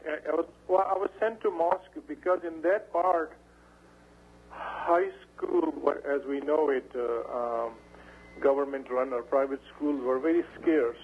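A man, a guest in a radio interview, talking in halting phrases with many fillers and restarts. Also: fairly high pitch. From Pashtun area of Pakistan. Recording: dull radio broadcast with faint hum.

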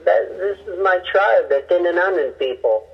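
A man's voice: high-pitched, animated, moderate pace, vocal fry, highs cut off, pitched above the performer's own voice. A man acting out an amazed Filipino pastor. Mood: astonished.

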